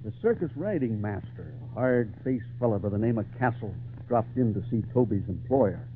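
A man's voice: on the low side, animated, normal speed, slight background noise, lightly acted. A man playing a friendly, folksy storyteller narrating an old-time radio drama for a child. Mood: storytelling. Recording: tinny band-limited 1940s radio recording.

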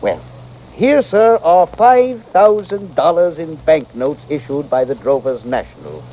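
An actor playing an unflappable swindling financier in a radio western, speaking in pompous, formal, wordy phrases. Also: male voice, on the high side, lively intonation, measured pace, highs cut off. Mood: pompous assurance. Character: smooth-talking, unflappable swindling financier in the Old West. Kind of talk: 1940s-50s radio western acting. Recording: faintly noisy vintage radio recording.

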